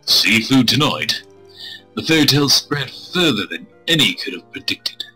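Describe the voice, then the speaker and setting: A man streaming a visual novel, reading it aloud with crude, irreverent joking asides, in a husky voice. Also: mid-range voice, sing-song, moderate pace, pushed and bright, rough recording. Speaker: game streamer. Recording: music playing behind the voice.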